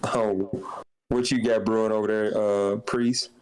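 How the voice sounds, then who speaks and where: A man's voice: on the low side, flat delivery, a little slow, a little mellow, smooth, rough recording. A man in a live podcast, chatting loosely in slang and reading out player stats. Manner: loose slangy talk, reads stats aloud. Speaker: league member on podcast. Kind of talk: casual banter.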